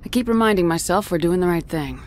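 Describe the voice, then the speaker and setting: A woman's voice: lowish voice, expressive, fast, a little hiss or hum, natural voice. An actress voicing a resolute female starship commander in a video game, speaking in a firm, level, direct way. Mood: intimate. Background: game music.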